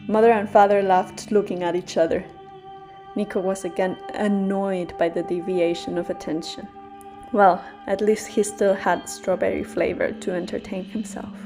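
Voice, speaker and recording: A woman narrating a bedtime story slowly and soothingly. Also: mid-range voice, flat delivery. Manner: soft, slow, soothing. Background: soft guitar music.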